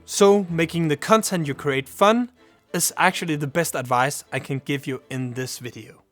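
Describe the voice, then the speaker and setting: A man's voice: on the high side, normal speed, a bit bright, faint music behind. A young man from Denmark, a native Danish speaker, giving earnest scripted advice to camera about starting a channel. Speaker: YouTuber. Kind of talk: scripted vlog talk to camera.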